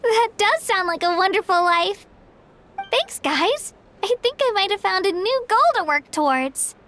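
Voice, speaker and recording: A woman's voice: very high-pitched, animated, a little slow, fairly bright tone, a little hiss or hum, full character voice. A woman playing an earnest, hopeful young girl in a video game, with a sweet childlike voice. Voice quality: childlike, girlish. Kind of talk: video game character acting. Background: faint music.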